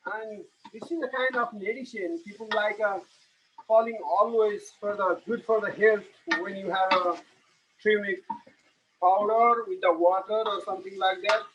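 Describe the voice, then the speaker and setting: Casual: nepali guy with a really high voice walking you thru his curry step by step, halting simple english, faint hiss behind. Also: steady tone, muffled recording. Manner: halting, plain English, narrating each step as he cooks. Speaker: home cook giving a cooking demo. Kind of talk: live cooking demonstration.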